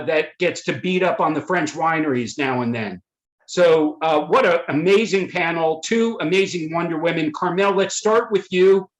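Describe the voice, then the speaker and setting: Male webinar host, slightly high voice, stumbles and restarts often; roomy echo on the call. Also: muffled recording. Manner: halting, many fillers and restarts. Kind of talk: introducing panelists. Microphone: video call. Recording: echoey room audio.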